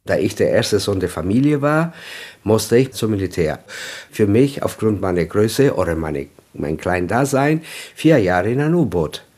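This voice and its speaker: A man's voice: on the low side, sing-song, normal speed, darkish tone. A man in his seventies from Sicily, a native Italian speaker with an Italian accent, recalling his past in an interview at home with hesitant, searching phrasing. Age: 70s. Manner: hesitant, with fillers and searching for words. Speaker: interviewee, former guest worker. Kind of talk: reminiscing in an interview.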